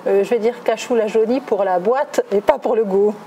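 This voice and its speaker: A woman's voice: mid-range voice, moderate pace, dark tone, a little hiss or hum. A middle-aged woman from southwest France answering interview questions conversationally, with many hesitations and self-corrections. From Toulouse, southwest France. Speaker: interviewee, executive recruiter.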